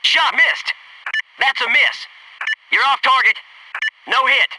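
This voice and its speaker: An actor playing a brisk, cocky Navy SEAL sniper in a military shooter game, giving clipped tactical callouts. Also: male voice, extremely high pitch, recorded from a distance, background noise. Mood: cool, terse.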